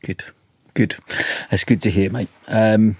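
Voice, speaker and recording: Husky low-voiced male podcast host. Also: fairly even intonation, takes it slowly, darkish tone, no room sound. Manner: friendly, informal, says "mate" a lot. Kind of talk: casual interview chat.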